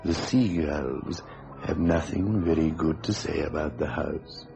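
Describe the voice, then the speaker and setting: An actor playing an ominous, sardonic narrator of a radio horror tale, speaking slowly and deliberately. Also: male voice, a bass voice, vocal fry, mellow, heavily acted. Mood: ominous, wry. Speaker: narrator and series announcer. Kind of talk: radio thriller scripted narration. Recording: dull old radio recording, music behind.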